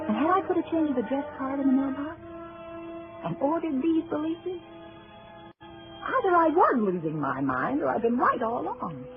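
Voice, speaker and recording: An actress playing a nervous, suspicious woman who lives alone, in a dramatic old-time radio style with hesitant delivery. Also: high voice. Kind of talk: old-time radio drama acting, with first-person narration. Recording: muffled, tinny old radio broadcast.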